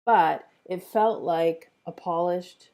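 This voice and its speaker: A woman's voice: lowish voice, slow pace, mellow. A woman hosting an online script table read, chatting casually in an appreciative, encouraging way. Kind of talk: casual feedback chat and stream sign-off.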